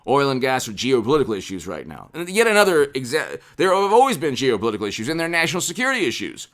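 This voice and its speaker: A man's voice: medium pitch, huge pitch swings, quick pace, fairly bright tone. Emphatic American man giving political commentary. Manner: emphatic, repeating phrases for stress. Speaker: political commentary channel host. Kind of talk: unscripted political commentary to camera. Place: small indoor room with poster-covered walls. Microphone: desk condenser microphone, close.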